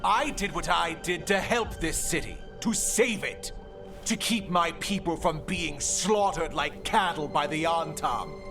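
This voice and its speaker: An actor playing a scheming, self-righteous city governor in a video game, bitter and theatrical in a measured, sarcastic way. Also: male voice, a little high, animated. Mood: bitter, accusing. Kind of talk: dramatic video game voice acting. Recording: music behind the voice.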